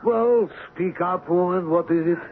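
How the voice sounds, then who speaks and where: Imperious, short-tempered colonial agent, muffled radio. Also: male voice, sits high, a little slow. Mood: irritated command. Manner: barking orders, pompous. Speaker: radio drama actor. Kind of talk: 1970s radio drama acting. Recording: muffled, noisy old radio broadcast.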